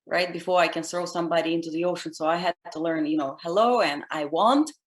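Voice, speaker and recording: A woman with a deep, low voice, speaking English as a later language. She explains things earnestly and step by step, checking in with "right?" as she goes. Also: fast. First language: Russian. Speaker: dance instructor, guest. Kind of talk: conversational interview answer.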